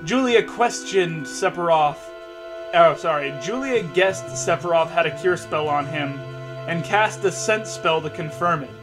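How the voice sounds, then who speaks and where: High-voiced, unhurried sarcastic male reader. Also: expressive, over music. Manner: sarcastic, theatrical, stumbles over text. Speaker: podcast co-host reading fan fiction aloud. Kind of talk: mocking read-aloud with joking asides.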